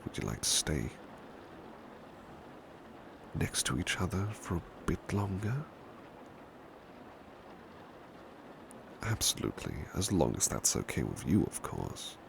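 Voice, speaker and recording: Guy doing a super deep slow british butler, soft asmr murmur, polite n protective, rain noise behind him. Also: gravelly, full character voice. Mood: cosy, tender. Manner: hushed, gentle, courteous, hesitant. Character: devoted, protective, politely hesitant big male butler. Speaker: ASMR roleplay performer. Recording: steady background noise, rain ambience. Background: rain ambience.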